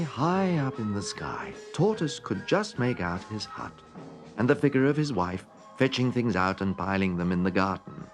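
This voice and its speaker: A man narrating a children's story, dramatic and savouring his pauses. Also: medium pitch. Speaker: storybook reader. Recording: music clearly playing behind the reading. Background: music.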